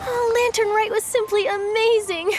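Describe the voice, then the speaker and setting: An actress playing a cheerful, excitable young woman journalist who chats eagerly, with soft game music behind. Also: extremely high pitch, moderate pace, slight background noise. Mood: excited. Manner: excitable, chatty, bubbly.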